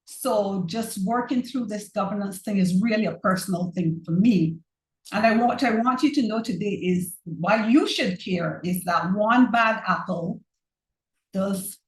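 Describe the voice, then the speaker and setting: A woman from Barbados with a Barbadian accent, giving an earnest, personal online presentation on governance. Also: mid-range voice. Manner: earnest, personal, measured speaker. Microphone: video call. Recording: roomy echo on a video call.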